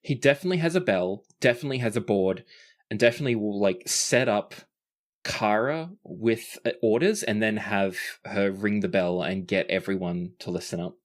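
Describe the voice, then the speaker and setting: A person with a medium-pitched voice, narrating a tabletop roleplaying game casually as game master. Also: normal speed.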